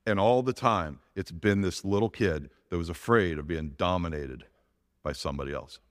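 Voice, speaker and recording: Earnest middle-aged man with a big, low voice. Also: normal speed, long reverb tail. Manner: earnest, with frequent restarts and "it is that". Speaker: church elder giving a testimony. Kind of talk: personal testimony from a church stage.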